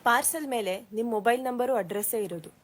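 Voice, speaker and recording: A woman's voice: fairly high pitch, fast talker, lightly acted. A woman playing a brusque, indifferent fake courier company caller in an awareness skit. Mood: businesslike.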